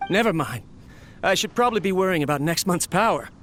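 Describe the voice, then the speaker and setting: A man playing a lonely, weary, gentle male researcher in an anime game, talking tenderly and wistfully. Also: high voice, expressive, fast talker, slight background noise. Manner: soft-hearted, wistful, talks tenderly to his machine. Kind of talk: anime game voice acting, monologue.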